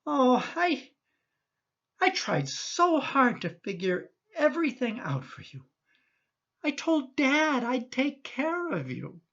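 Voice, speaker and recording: A woman's voice: sits high, very animated, takes it slowly, a bit bright, lo-fi sound, exaggerated voice. A woman playing an exasperated, protective middle-aged son in a radio play, indignant and emphatic in manner.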